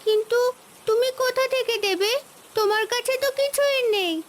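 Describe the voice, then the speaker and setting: A woman's voice: very high-pitched, moderate pace, background noise. A woman voicing an earnest, helpful little village girl in a cartoon, eager and wide-eyed. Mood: amazed. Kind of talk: cartoon voice acting.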